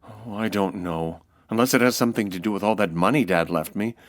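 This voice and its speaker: A man's voice: fairly low pitch, brisk, no echo, studio quality, lightly acted. A man playing a nervous, polite, well-off young groom in a period radio mystery, speaking hesitantly. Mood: anxious.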